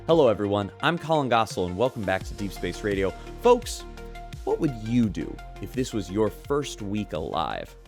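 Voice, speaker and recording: A chatty ship radio host. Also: male voice, on the low side, huge pitch swings, normal speed, soft background music. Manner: chatty, showman-like. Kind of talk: radio news broadcast.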